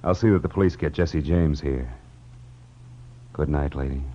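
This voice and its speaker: An actor playing a wry, world-weary private detective in 1940s radio noir style, clipped and sardonic. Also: male voice, rumbling low, moderate pace. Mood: sardonic, wary. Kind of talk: 1940s radio noir acting with first-person narration. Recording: dull, hissy old radio recording with hum.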